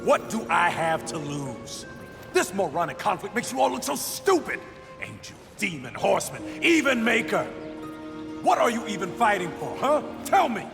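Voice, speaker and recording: A bitter human survivor in a game cutscene, challenging and sarcastic. His high voice swings widely in pitch and carries a long reverb. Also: very animated, normal speed, vocal fry, exaggerated voice. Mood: angry. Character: Jones, defiant, bitter human male survivor. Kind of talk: video game cutscene acting. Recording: reverberant voice with music behind.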